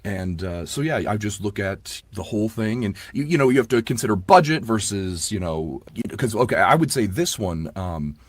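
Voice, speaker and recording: A man with a very low voice, recorded close and dry. He talks casually as a narrator being interviewed, rambling and often restarting his sentences. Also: animated, normal speed, hardly any pauses, no echo. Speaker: audiobook narrator and producer.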